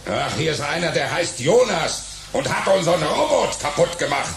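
A man playing a hulking, slow-witted bodyguard in a radio play, talking in a blunt way. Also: fairly high pitch, sing-song, roomy sound, music in the background. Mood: gruff, suspicious.

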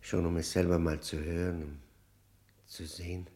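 A man plays a defiant middle-aged prisoner long sunk in silence. His voice sits low, and he speaks haltingly, with a brooding, guarded weariness suited to radio drama. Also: little pitch movement, warm tone, close to an everyday voice. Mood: brooding, hesitant. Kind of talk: radio play acting.

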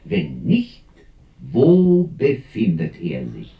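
An accusing orator in a 1950s radio drama. His delivery is emphatic and deliberate, with wide swings of pitch. The sound is dull and echoey, as from a distance. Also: wildly swooping pitch, full character voice. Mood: accusing, solemn. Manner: rhetorical, emphatic, deliberate questioning. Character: accusing male public voice reading a scandal indictment.

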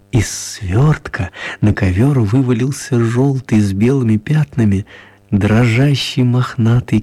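A man narrating a children's radio story in a measured, expressive way with gentle humour. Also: on the low side, breathy edge.

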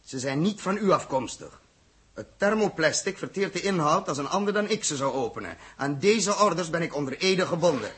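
A man's voice: fairly high pitch, expressive, brisk, fairly bright tone, breathy edge. A man acting a blunt, principled spaceship commander in a 1960s Dutch science fiction radio drama. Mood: defiant, firm. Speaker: spaceship captain. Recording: noisy old radio drama recording, clicks.

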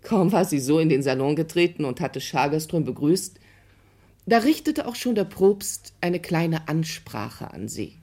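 A woman playing a storyteller among friends in a radio drama, narrating in an expressive, unhurried way. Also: low voice, full character voice.

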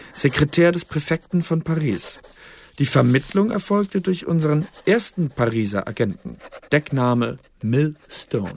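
Ein Schauspieler spielt im Hörspiel einen förmlichen, amtlich berichtenden Dossierführer eines elisabethanischen Geheimdienstes. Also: male voice, fairly high pitch, a little mellow, breathy edge, exaggerated voice. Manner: formal, official. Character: formal secret service dossier keeper, Elizabethan spy bureaucrat. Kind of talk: scripted radio drama narration.

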